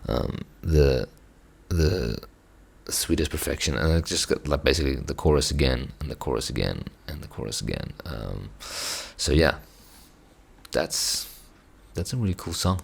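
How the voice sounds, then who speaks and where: A man, an Afrikaans speaker, hoarse from singing, talking through a song reaction in a reflective, hesitant way with many restarts. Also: rumbling low, lively intonation, normal speed. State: hoarse, strained voice. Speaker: musician reacting to songs. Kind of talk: casual reaction commentary.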